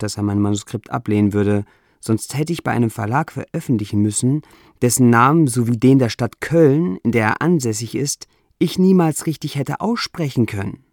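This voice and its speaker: A man with a non-native German accent that struggles with umlauts, reading his own essay in a measured, deliberate way with dry, self-ironic humor. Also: medium pitch, darkish tone, no room sound. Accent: non-native German, trouble with umlauts.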